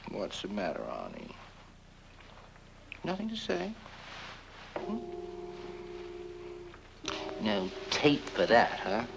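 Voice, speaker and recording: An actor playing a scheming, bitter heir in a horror-comedy film, with an arch, drawling, mocking delivery. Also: male voice, fairly low pitch, huge pitch swings, takes it slowly, vocal fry, pauses often, background noise, full character voice. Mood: bitter gloating. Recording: film soundtrack with loud music.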